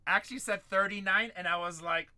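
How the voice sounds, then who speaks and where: High bright-voiced man hosting a card break. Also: fairly even intonation, fast. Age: 40s. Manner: banters with chat, calls out cards loudly. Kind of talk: live-stream card break chat.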